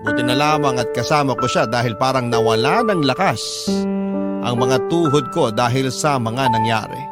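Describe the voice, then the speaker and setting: A man's voice: medium pitch, normal speed, natural voice. An actor playing a regretful young father narrating his story in a radio drama, with a Filipino accent. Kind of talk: scripted first-person drama narration. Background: loud music.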